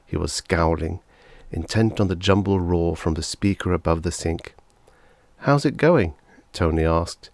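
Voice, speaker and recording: A man's voice: sits low, darkish tone, no room sound. A man narrating fiction as a scripted audiobook reader.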